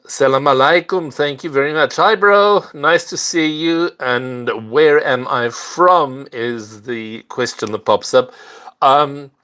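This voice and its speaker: An older man from South Africa, hosting a casual livestream and chatting earnestly with viewers. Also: medium pitch. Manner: unhurried, earnest, warm greetings to viewers. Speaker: livestream host.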